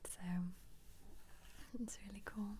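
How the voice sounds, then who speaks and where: A British woman speaking in a very soft, breathy whisper, giving casual, gentle ASMR commentary with rambling asides close to the microphone. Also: takes it slowly, a little hiss or hum. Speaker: ASMR creator. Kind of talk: soft-spoken ASMR book flip-through commentary.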